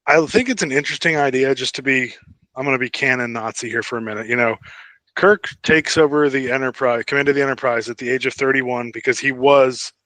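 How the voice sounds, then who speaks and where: A man's voice: mid-range voice, brisk, pushed and bright, no echo. A man co-hosting a podcast, explaining details in a casual but slightly pedantic fan discussion. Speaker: podcast co-host.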